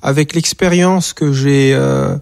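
A man's voice: medium pitch, steady tone, slow pace, vocal fry, a little mellow, no room sound, studio quality. A man in his forties from Rwanda, a singer being interviewed, speaking hesitantly with many fillers and repeated words. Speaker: singer and author, interview guest. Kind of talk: radio or TV interview.

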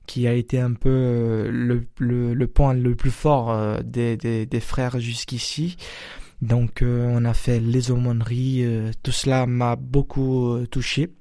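Hesitant young man, mellow voice. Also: medium pitch, no room sound, studio quality. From Brazil. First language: Portuguese. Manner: hesitant, many fillers and restarts. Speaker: seminarian. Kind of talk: radio interview answers.

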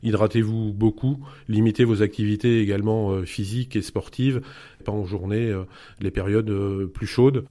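A fire service official gives heat-wave safety advice in a radio interview. His voice is low and mellow, and he talks haltingly, filling gaps with hesitations. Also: steady tone. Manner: hesitant, many fillers.

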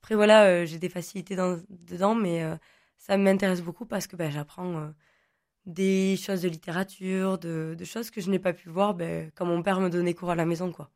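A woman's voice: lowish voice, steady tone, normal speed, a little mellow. Chatty young woman, Occitan native speaker. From Languedoc, southern France. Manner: chatty, rambling, many fillers and restarts. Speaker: guest, online content creator. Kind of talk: casual interview answers.

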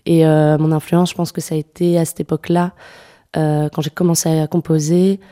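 A young woman with a low, mellow voice talks as an interview guest. She speaks softly and hesitantly, often restarting her sentences and filling pauses. Her tone stays warm and unhurried. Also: flat delivery. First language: French. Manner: soft-spoken, hesitant, many fillers and restarts. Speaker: singer-songwriter guest. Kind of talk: radio interview conversation.

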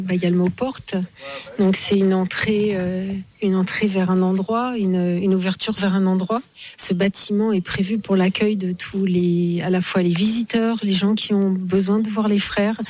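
A woman's voice: medium pitch, little pitch movement, moderate pace, darkish tone. A middle-aged woman from Paris, a guest in a casual outdoor interview at a building site, talking hesitantly with many fillers and restarts.

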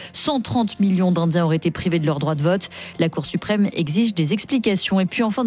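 A woman's voice: medium pitch, darkish tone. A woman presenting a scripted radio news bulletin.

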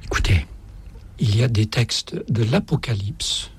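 A man with a Dutch or Flemish accent speaking French, a priest answering reflectively in an interview with deliberate phrasing and repetitions for emphasis. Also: mid-range voice, animated, slightly rough. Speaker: Jesuit priest, author, interview guest.

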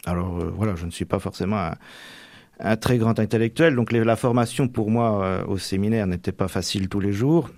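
A man's voice: on the low side, brisk, a little mellow, no echo, clean studio sound. A young man training for the priesthood, answering radio interview questions in a hesitant way with many fillers and restarts. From Manche, Normandy.

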